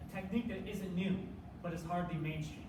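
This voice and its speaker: A young man performing reader's theater with an earnest, measured spoken-word delivery. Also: high-pitched, fairly even intonation. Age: young adult. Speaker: college forensics team performer. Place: stage performance space. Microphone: distant room mic. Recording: echoey, distant, noisy live room.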